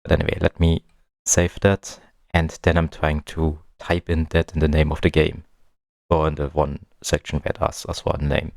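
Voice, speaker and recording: A man's voice: extremely low pitch, completely flat delivery, normal speed, creaky, a little mellow, no room sound, studio quality. A man giving casual gaming commentary, muttering to himself as he puzzles things out. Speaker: game streamer, let's-player.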